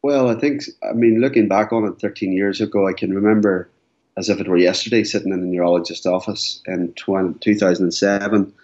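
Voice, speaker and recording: A man's voice: sits low, steady tone, brisk, darkish tone. A man in his forties, a guest in an interview, speaking earnestly and often repeating words as he goes. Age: 40s. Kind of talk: candid interview. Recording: dull lo-fi sound.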